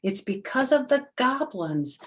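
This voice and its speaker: A troubled, fearful villager from a storybook, read by a woman. The complaint is plaintive and emphatic, lifted above the reader's usual pitch. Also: sing-song, unhurried. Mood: aggrieved. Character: troubled villager, fearful and miserable under goblins.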